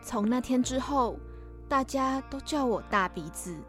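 A woman's voice: high voice, lively intonation, measured pace, soft background music. A woman playing a wistful young narrator who looks back reflectively in a scripted audio drama. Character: wistful young woman narrating her schooldays of being teased.

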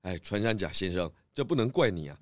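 A man playing a good-humored, calm pig detective in a children's radio drama. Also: deep voice, fairly quick, dry recording, studio quality. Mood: calm, reassuring.

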